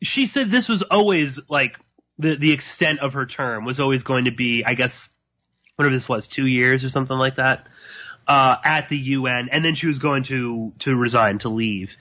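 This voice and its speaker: A man hosting live radio alone, rambling and halting with many fillers, a slight sore throat in his voice. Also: medium pitch. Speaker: podcast host. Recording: dull lo-fi.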